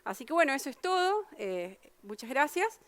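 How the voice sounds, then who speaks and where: A woman's voice: high-pitched, sing-song, moderate pace, no echo. A woman from Argentina with an Argentine Spanish accent, a researcher explaining her work at a public science talk, with frequent fillers and restarts.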